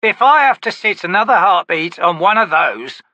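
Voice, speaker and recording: A surly army sergeant who growls and complains in rough, folksy speech, voiced by a man with a bright, loud edge. The grumbling rises and falls broadly, read close and dry for a storybook. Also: high-pitched, big pitch swings, moderate pace, no echo, muffled recording, pitched above the performer's own voice, exaggerated voice.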